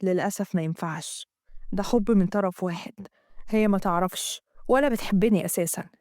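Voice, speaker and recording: A woman voicing a smooth-talking, manipulative young man with an Egyptian accent and a low, soft murmur. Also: brisk, dry recording, studio quality, close to an everyday voice. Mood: pleading. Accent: Egyptian Arabic. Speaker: storyteller voicing a character.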